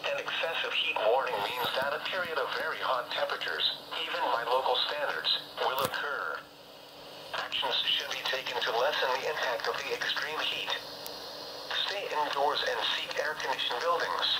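A man's voice: mid-range voice, normal speed. A man reading a scripted emergency weather bulletin as a radio announcer, in a formal, clipped, automated-sounding way. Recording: noisy weather radio filmed off speaker.

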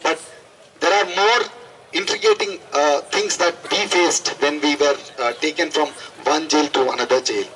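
A man's voice: a little high, moderate pace, fairly bright tone, soft background music, low-quality recording. A young man with an Indian accent giving an impassioned protest speech into a handheld microphone, emphatic and repeating phrases for effect. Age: young adult. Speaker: student activist. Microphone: handheld microphone through a PA.

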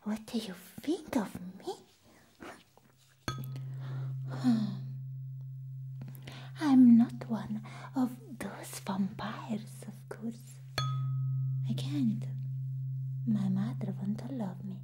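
A young woman playing an eerie, playful vampire nurse in an ASMR medical roleplay, speaking in a breathy whisper with lingering, repeated words and hums. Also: medium pitch, extremely slow, long pauses. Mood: coy, secretive. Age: young adult. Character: eerie, playful female vampire nurse examining a human patient. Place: small room set up as a clinic. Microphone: close ASMR microphone.

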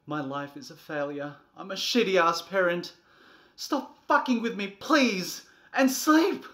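A man in his thirties doing a comedic, theatrical storybook reading, moving between a soft bedtime tone and exasperated delivery. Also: high-pitched, expressive, unhurried. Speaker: presenter reading a picture book. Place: small furnished nursery room indoors.

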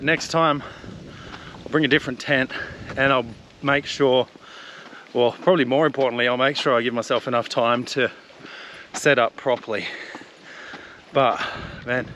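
A man's voice: medium pitch, bright, forceful tone, a little hiss or hum. A man in his thirties chatting upbeat to a camera held at arm's length on an outdoor mountain trail, laughing at himself. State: throat a bit rough. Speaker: hiking vlogger. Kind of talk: casual vlog to camera. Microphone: action camera at arm's length. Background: open air.

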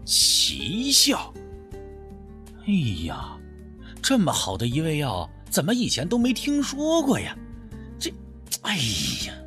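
A man's voice: sits high, huge pitch swings, takes it slowly, pauses often, bright, forceful tone, soft background music, pitched above the performer's own voice, full character voice. A man voicing an eager medical student in an audiobook, insistent and exclamatory, pleading persistently. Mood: amazed, regretful.

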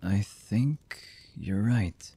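A man acts out a lonely, brooding young villain for an anime boyfriend ASMR. The voice is low and mellow, husky with breath and often near a whisper. He speaks very slowly and hesitantly, as if confiding something intimate. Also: a little hiss or hum. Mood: tender, confused. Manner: slow, halting, hesitant, intimate.

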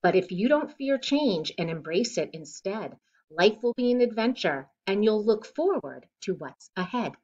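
A middle-aged woman reads a rhyming children's picture book aloud in a deliberate, expressive way, recorded at home on a device mic. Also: medium pitch. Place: living room at home, indoors. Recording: dull lo-fi webcam sound.

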